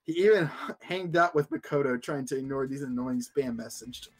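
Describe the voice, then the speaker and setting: A man's voice: medium pitch, brisk, soft background music. A man streaming a casual, stumbling read-aloud of fan fiction, breaking off to comment. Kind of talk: casual fanfic reading with commentary.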